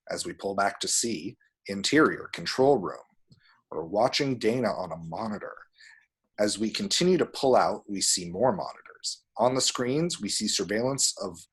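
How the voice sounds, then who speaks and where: A man reading screenplay action descriptions aloud at a table read, with a husky voice. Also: fairly low pitch.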